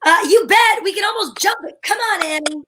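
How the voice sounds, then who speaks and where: Woman playing an earnest, high-voiced country boy. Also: fairly quick, sharp tone. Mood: agreeable. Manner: folksy, aw-shucks, agreeable. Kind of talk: old-time radio drama acting.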